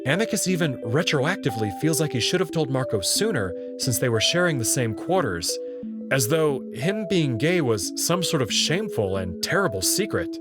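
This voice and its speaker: A man with a mid-pitched, animated voice delivers scripted narration and commentary. He is articulate and expressive. Guitar music plays clearly behind him. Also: normal speed. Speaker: narrator and host of a visual novel reading.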